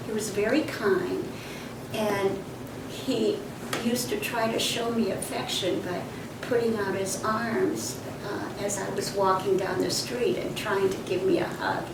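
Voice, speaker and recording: An older woman recalls her past in a slow, reflective way, pausing on fillers and starting sentences over. She is heard from some distance in a roomy space. Loud hiss sits under her voice. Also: medium pitch, fairly even intonation, vocal fry. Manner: reflective storytelling with fillers and restarts. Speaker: interviewee. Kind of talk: oral history interview.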